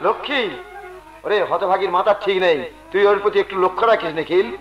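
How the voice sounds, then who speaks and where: High-voiced, worried elder brother. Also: male voice, fast talker, echoey room, highs cut off, soft background music. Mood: worried sorrow. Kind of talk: Bengali jatra folk theatre melodrama.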